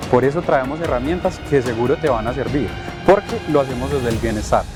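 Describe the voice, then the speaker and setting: A man's voice: medium pitch, a little fast. Man hosting a podcast over faint music. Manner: earnest, many fillers and restarts when asking questions. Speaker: podcast host.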